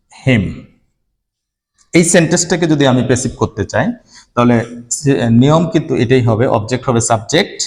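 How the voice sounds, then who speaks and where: A male teacher speaking at an ordinary mid pitch, walking students through grammar rules one step at a time. He addresses the class directly and breaks off between words while writing examples out. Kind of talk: whiteboard grammar lesson.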